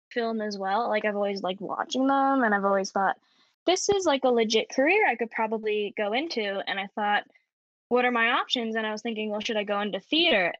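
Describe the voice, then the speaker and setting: Young woman guest, squeaky high voice, giggly and rambly, dry muffled audio. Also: a bit bright, no room sound. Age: young adult. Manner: giggly, rambling, many fillers. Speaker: podcast guest. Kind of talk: casual podcast chat.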